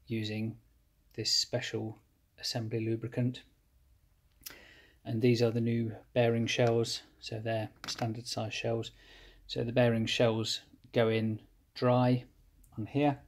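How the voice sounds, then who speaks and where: A man calmly explaining his engine rebuild step by step while he works at a cluttered workshop bench. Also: lowish voice, creaky. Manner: methodical, plain explanatory, pauses mid-phrase. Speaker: home mechanic rebuilding an engine. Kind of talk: hands-on workshop walkthrough.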